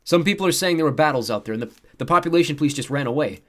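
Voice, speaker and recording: A man voicing an earnest, easily exasperated teenage boy who explains things haltingly and insistently as an amateur newscaster in a story. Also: a little high, expressive, voice pushed up, close to an everyday voice.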